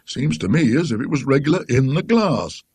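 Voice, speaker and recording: A man's voice: medium pitch, sing-song, moderate pace, darkish tone, slightly rough, no room sound, pitched above the performer's own voice. A man voicing a talkative, indignant working-class British tram conductor in a ghost story, rambling and emphatic in his colloquial speech. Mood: puzzled, earnest.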